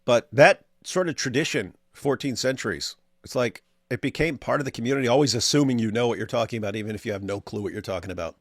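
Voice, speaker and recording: A man's voice: mid-range voice, fast talker, few pauses, no echo, clean studio sound. A man co-hosting a casual talk show, joking and repeating his lines for effect. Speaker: co-host. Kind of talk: casual reaction chat.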